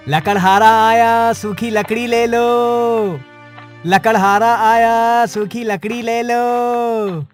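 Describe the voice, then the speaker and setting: A man's voice: very high voice, fairly even intonation, extremely slow, soft background music, full character voice. A man voicing a humble village woodcutter in a cartoon moral story. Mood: calling out. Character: humble village woodcutter, husband, hawking dry wood.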